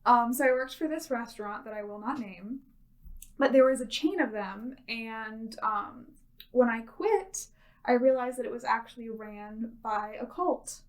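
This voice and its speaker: A woman with a slightly high voice shares a personal story as an interview guest. Her delivery is casual and conversational, and she sits a little back from the microphone. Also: measured pace, recorded from a distance. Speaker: interview guest, staff member.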